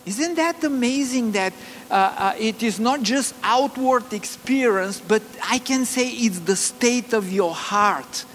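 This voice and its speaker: A man with a Bulgarian accent preaching a sermon, earnest and halting, with many fillers and restarts. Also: very high-pitched, a bit bright. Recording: long reverb, faint ambient noise.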